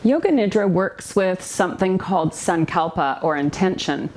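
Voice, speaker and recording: Woman softly guiding a relaxation meditation. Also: on the low side, lively intonation. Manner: soothing, gentle instruction with unhurried pauses. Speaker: yoga nidra relaxation guide, therapist. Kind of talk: guided meditation narration.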